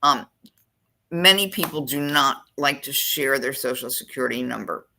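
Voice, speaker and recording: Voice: mid-range voice, moderate pace, vocal fry, bright, forceful tone, a little hiss or hum, poor audio. A college admissions counselor giving a screen-share walkthrough of an application form, thinking aloud while clicking through the fields.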